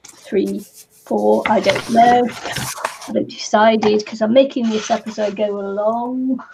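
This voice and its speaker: A New Zealand woman with a scratchy voice talks through her crafting at an unhurried pace. Her tone is gravelly and mellow. A faint hiss sits under the recording. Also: fairly high pitch. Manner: slow, relaxed, laughs between phrases. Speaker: craft channel host. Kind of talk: casual craft chat.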